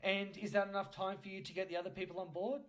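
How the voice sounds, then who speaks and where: A man's voice: high-pitched, level tone, fast talker, a bit bright. A man hosting a casual improvised comic role-play, asking questions in a mock-incredulous manner. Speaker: podcast host.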